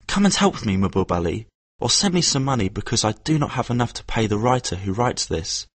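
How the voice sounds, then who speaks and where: Man doing a clever hot-headed kid, pitched up, husky, bouncy delivery. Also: lively intonation, a little fast, no room sound. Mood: earnest. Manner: raised, boyish pitch. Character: clever orphan boy, quick-tempered.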